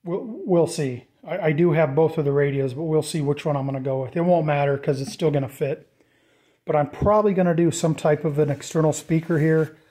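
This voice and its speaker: A man giving a casual, off-the-cuff walkthrough of a DIY project, informal and thinking out loud as he goes. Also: on the high side, steady tone, a little fast. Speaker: DIY vlogger presenting a build.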